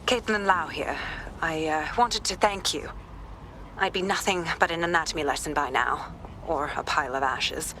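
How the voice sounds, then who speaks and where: An actress playing a determined, weary female police inspector with a British accent, frank and measured, in a video game. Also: sits low, sharp tone, a little hiss or hum. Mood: shaken, grateful.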